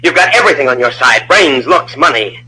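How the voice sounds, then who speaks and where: A man playing a tough, wry American Secret Service agent with a husky voice, in old-time radio style on a vintage recording. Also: mid-range voice, lively intonation, brisk, pushed and bright, heavily acted, low-quality recording. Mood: wary, measured.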